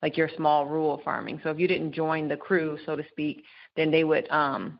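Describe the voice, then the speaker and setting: Female discussion participant, very low flat voice, informal and rambling, on dull call audio. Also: normal speed, gravelly. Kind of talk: casual discussion, recounting.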